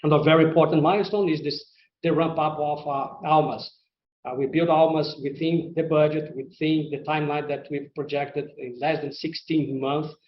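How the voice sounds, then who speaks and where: Man, non-native English, company executive, earnings presentation, hesitant phrasing, even intonation, muffled conference audio. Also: fairly high pitch. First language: not English. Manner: halting, hesitant delivery with repeated words. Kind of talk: semi-scripted earnings call presentation to investors. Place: conference call line in a roomy space. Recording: roomy, muffled conference-call audio with faint noise.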